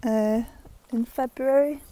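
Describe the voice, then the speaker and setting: A young woman giving a relaxed, chatty walkthrough of her land and barn, with plenty of fillers and soft laughs, recorded on an earphone mic outdoors. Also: high-pitched, takes it slowly, slight background noise, low-quality recording. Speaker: vlogger. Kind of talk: casual walkthrough narration.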